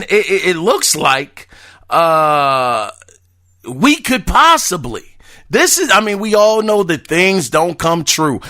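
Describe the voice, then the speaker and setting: Male gaming podcast host, hoarse and raspy, chatty and lively, lots of pitch movement. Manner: loose, chatty, addresses listeners as brothers and y'all. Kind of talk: casual gaming news podcast.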